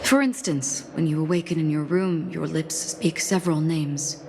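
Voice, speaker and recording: A woman playing a calm, enigmatic, forgetful stranger in a video game, speaking in a formal, soft, reflective way. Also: deep voice, normal speed, background noise. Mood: wistful, searching. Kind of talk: scripted game voice acting, slow and measured. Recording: music behind the voice. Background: music.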